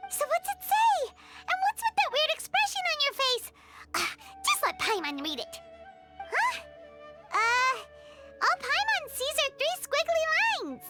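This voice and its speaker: A woman voicing a tiny, childlike, excitable fairy companion in a video game, squeaky and blunt in her exclamations. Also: unhurried, loud music behind the voice, full character voice. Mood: curious, surprised. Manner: exclamatory, questioning, refers to self in third person.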